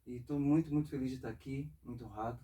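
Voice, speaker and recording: A man in his forties from northeastern Brazil, an interview guest talking casually and reflectively, in a halting way. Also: mid-range voice, steady tone, mellow, recorded from a distance. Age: 40s. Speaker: interview guest, saxophonist and composer. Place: music studio.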